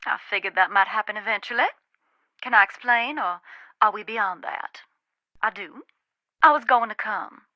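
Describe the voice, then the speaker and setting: Woman acting a bright-voiced, folksy Southern ghost hunter. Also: medium pitch, moderate pace, long pauses, no room sound, lo-fi sound, close to an everyday voice. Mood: frank, ominous. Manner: folksy, storytelling, emphatic. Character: haunted Southern woman, a warm, wary ghost-hunting spirit medium. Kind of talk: dramatic video game dialogue.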